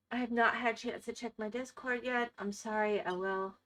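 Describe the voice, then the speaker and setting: A woman streaming a game and chatting casually with her viewers while losing her voice. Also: fairly high pitch. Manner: chatty, reads and answers chat. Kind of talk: casual live-stream chat.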